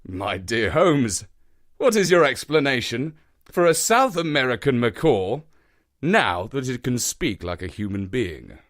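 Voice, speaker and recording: A man voicing a mild, kindly male companion who speaks slowly and hesitantly. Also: medium pitch, sing-song, fairly bright tone. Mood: puzzled. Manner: hesitant, fumbling, slow and polite.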